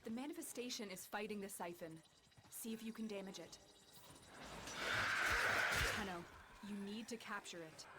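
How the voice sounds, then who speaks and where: Woman playing calm commanding mission guide in a game, clinical and measured, noisy background. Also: mid-range voice, lightly acted. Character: composed, authoritative female guide and strategist. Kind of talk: dramatic game voice acting.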